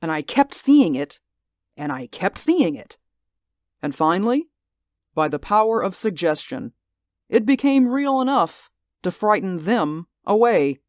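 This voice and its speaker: An actor playing a bitter, vengeful middle-aged stage actor in an old radio drama, theatrical and gloating, dropping into quiet menace. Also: male voice, high voice, big pitch swings, a little slow, no echo, clean studio sound. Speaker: villain. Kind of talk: 1940s radio acting.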